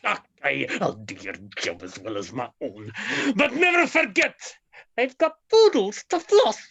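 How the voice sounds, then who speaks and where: A woman playing a grumbling, ominous manor servant with a broad Scottish accent and creaky voice in a comic drama. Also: mid-range voice, sing-song, unhurried. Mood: grudging. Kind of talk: comic murder-mystery audio drama.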